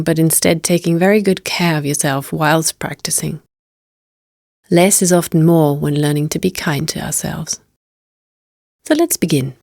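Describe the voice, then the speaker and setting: A woman guiding a meditation as a teacher, speaking in a gentle, unhurried and invitational manner. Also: sits low, dry recording, clean studio sound. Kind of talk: guided meditation narration.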